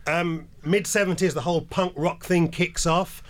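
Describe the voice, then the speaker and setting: A man's voice: a little high, fairly even intonation, moderate pace. A jet-lagged older man from England, telling stories as a radio interview guest with a wry, laid-back manner.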